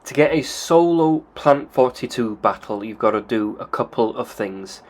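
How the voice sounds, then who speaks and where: A man calmly explaining video game story triggers in a methodical, plain way. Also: medium pitch, moderate pace.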